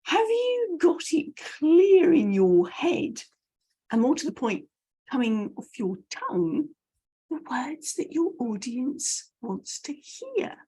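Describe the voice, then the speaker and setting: British woman, bit higher voice, very lively pitch, coach telling anecdotes to camera. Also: takes it slowly. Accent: British English. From United Kingdom. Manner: animated storyteller, self-deprecating asides. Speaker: message clarity coach.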